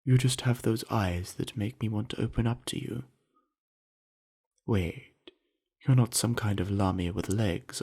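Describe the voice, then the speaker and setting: Friendly orc student athlete, male voice actor, ASMR roleplay: husky, creaky, slow, sincere and chatty. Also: on the low side, a little mellow, exaggerated voice. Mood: curious. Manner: easygoing, chatty, earnest, with musing pauses. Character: friendly, earnest, sweaty young male orc university jock. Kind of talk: scripted ASMR roleplay addressed to the listener.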